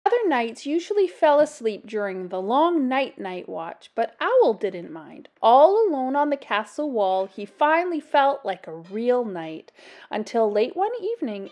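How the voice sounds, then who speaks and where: A middle-aged woman from Canada reading a picture book aloud, expressively addressing children as story-time host. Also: fairly high pitch.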